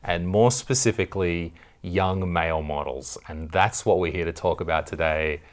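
A man with a very deep voice hosts a loose, joking conversation. He swears freely and spins mock-exasperated stories. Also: moderate pace. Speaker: comedian host. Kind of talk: casual chat. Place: hotel room.

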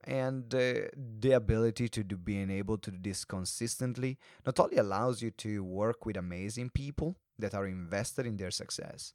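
A man's voice: fairly low pitch, moderate pace, few pauses, a little mellow, no echo, studio quality. A man hosting a podcast, introducing and interviewing in a showy, emphatic way. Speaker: podcast host.

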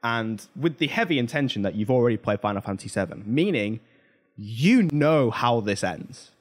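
Guy hosting a casual pop culture podcast, mid pitched voice, chatty and emphatic. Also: expressive, moderate pace. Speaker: podcast host.

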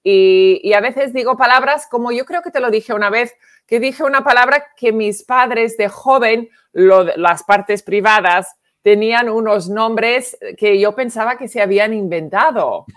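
A woman co-hosts a relaxed comedy podcast. Her voice sits a little high, and she tells anecdotes in a chatty, storytelling way. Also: a little slow. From Spain. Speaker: comedian and co-host. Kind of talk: casual podcast chat.

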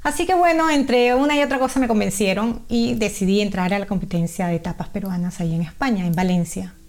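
A woman's voice: mid-range voice, fairly quick, a little mellow. A middle-aged Peruvian woman with a Peruvian Spanish accent, a guest chatting casually in an interview, with frequent fillers and restarts. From Peru.

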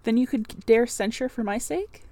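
A woman voicing a brusque, teasing, commanding middle-aged gentleman in an old-fashioned storybook. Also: a little high, moderate pace, dry recording, close to an everyday voice. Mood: probing, brusque. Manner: imperious, formal old-fashioned phrasing.

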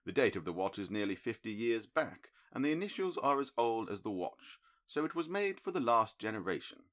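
A man reading an audiobook, voicing a calm, analytical middle-aged male detective who explains his reasoning in a crisp, precise, lecturing manner. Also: fairly low pitch, a little fast, pitched above the performer's own voice.

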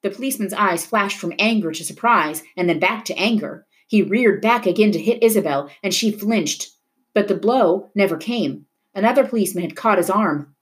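A woman reading a novel aloud in an expressive storytelling style, dramatic at tense moments. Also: medium pitch, moderate pace. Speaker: reader of a children's novel. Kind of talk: expressive read-aloud narration of a book.

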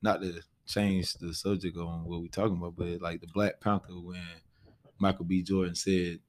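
A man's voice: deep voice, little pitch movement, moderate pace, darkish tone. A man chatting casually as a panel guest, with a laid-back and agreeable manner. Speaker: stage play actor, panel guest. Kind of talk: casual chat.

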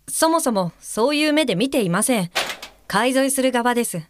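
A woman's voice: on the high side, animated, moderate pace, a little hiss or hum, natural voice. A woman acting in an audio drama as an earnest, self-reflective guide helper, who also narrates in the first person. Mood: firm, businesslike. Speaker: lead actor and narrator.

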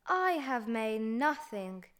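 A woman voicing a gentle, humble male bear in a storybook, soft and sighing. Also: a little high, sing-song, takes it slowly, clear, resonant tone, full character voice. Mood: grateful.

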